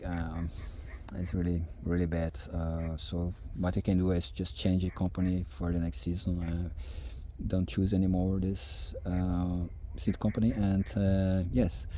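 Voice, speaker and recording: Brazilian-accented farmer, low and mellow. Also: male voice. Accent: Brazilian Portuguese. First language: Portuguese. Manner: hesitant, many fillers and restarts. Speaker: farmer vlogger. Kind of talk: casual outdoor vlog. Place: farm field outdoors.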